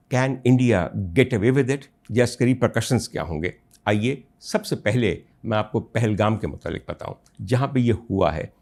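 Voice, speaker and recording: A man with a Pakistani Urdu accent hosting a political commentary show, delivering a rhetorical monologue to his audience that builds to loud indignant outbursts. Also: mid-range voice. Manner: addresses listeners as ladies and gentlemen.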